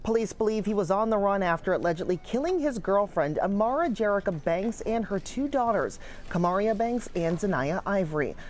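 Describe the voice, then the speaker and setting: A woman's voice: mid-range voice, lively intonation, brisk, a little mellow, a little hiss or hum. An American woman giving a scripted live news report from a newsroom.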